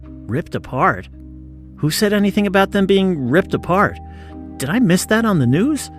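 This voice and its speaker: A man's voice: sits high, big pitch swings, normal speed, soft background music. A man playing a detective's concerned, chatty assistant in an audio drama, conversational and inquisitive. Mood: frank, curious. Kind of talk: scripted audio drama dialogue.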